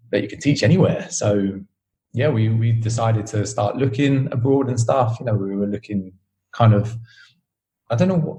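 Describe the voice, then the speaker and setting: British man, low mellow voice, easygoing rambling chat guest. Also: rough recording. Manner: relaxed, rambling, many fillers. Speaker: podcast host, interview guest. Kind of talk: casual chat.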